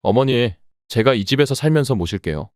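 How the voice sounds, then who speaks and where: A grown son who is polite and coaxing on the surface but calculating underneath. His voice sounds lower than the narrator's and is recorded dry and close, as a man voices him in an audio drama. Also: animated, a little fast, darkish tone, no room sound, natural voice.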